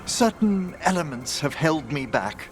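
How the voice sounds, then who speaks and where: An actor playing a refined older necromancer scholar in a video game, gentle and wistful, with formal, eloquent, measured phrasing. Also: male voice, on the high side, big pitch swings, a little hiss or hum. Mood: wistful, thoughtful. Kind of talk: video game dialogue acting.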